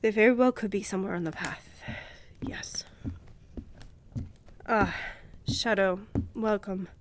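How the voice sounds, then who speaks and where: A woman plays a commanding vampire countess for a fantasy audio drama. She speaks slowly and regally, coolly indulgent, her breathy voice often sinking toward a whisper. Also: medium pitch, background noise, close to an everyday voice. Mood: pensive, courteous. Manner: measured, regal, condescendingly affectionate.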